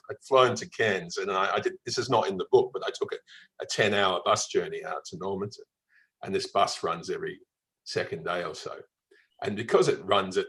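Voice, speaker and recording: A man's voice: low-pitched, a bit bright, husky, low-quality recording. A man from Melbourne with a mild Australian accent, telling travel stories conversationally as an interview guest. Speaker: travel writer being interviewed.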